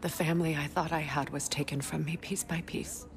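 An actress playing a solemn, tender warrior goddess in a video game, hushed and measured. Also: female voice, deep voice, steady tone, background noise. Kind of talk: video game dialogue acting.

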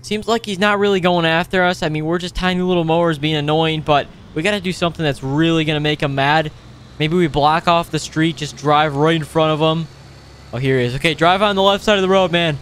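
A man hosting a gaming video with casual, playful roleplay commentary, excitable and given to exclaiming. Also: on the high side, a little fast, a little hiss or hum. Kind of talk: casual improvised roleplay commentary over gameplay.

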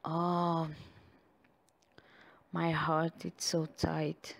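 A woman's voice: low-pitched, monotone, gravelly. A woman who is not a native English speaker, doing an affectionate ASMR girlfriend roleplay addressed straight to the listener. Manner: slow, affectionate, with frequent sighs and giggles.

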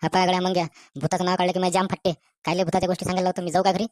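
Cartoon village lad, superstitious gossip, rural Vidarbha accent, rapid delivery, lowish pitch female performer. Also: flat delivery, darkish tone, dry recording, lightly acted. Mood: nervous. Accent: rural Vidarbha Marathi. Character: excitable, superstitious young village man, gossiping. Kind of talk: rustic comic cartoon dialogue.

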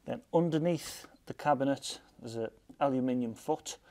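A man's voice: medium pitch, normal speed, darkish tone. A British man in his thirties presenting a product overview with an enthusiastic manner. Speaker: audio shop presenter. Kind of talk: product review narration to camera.

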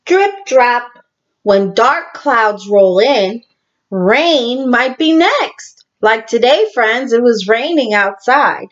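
Female primary teacher for picture-book read-aloud. Very slow, deliberate delivery, animated storytelling, slightly muffled lo-fi sound. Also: fairly high pitch. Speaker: teacher reading a picture book to young children. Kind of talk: children's read-aloud with asides to listeners.

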